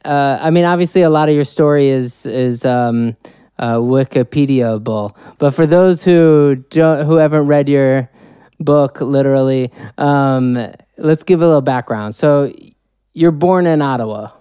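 A man's voice: mid-range voice, a little slow, warm tone, clear, resonant tone, no room sound, clean studio sound. A man hosting an interview, introducing his guest with enthusiasm and asking questions casually. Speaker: interview host. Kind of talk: podcast interview.

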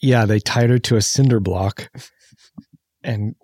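A man co-hosting a film podcast, chatting casually in an inquisitive way, as if thinking out loud. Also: lowish voice, a little slow, husky, dry recording, clean studio sound.

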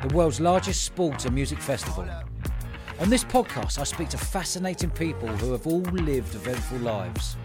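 Upbeat host, animated delivery, clear music bed behind. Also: male voice, mid-range voice, normal speed. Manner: upbeat, lively, says mate a lot. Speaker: podcast host. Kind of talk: conversational podcast interview.

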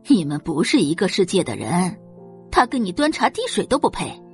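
A woman's voice: on the low side, sing-song, faint music behind. Woman acting a haughty, scornful rich mother. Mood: fond, contemptuous. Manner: haughty, dismissive, drawn-out sighs and snorts. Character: scornful middle-aged rich mother, doting on her daughter.

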